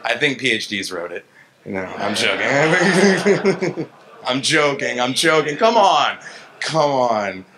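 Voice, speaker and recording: A man in his thirties speaking informally at a stand mic as a conference speaker, halting and conversational, often checking in with "right?". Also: medium pitch, big pitch swings, a crowd in the background. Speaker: conference speaker, software engineer. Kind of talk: informal lightning talk, answering questions. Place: gallery event space, indoors, on stage. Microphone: handheld-style vocal mic on a stand.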